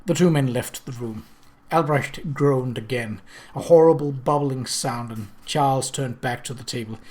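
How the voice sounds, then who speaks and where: Male audiobook narrator, mid-pitched, expressive and dramatic with scripted prose. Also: normal speed. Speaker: audiobook reader.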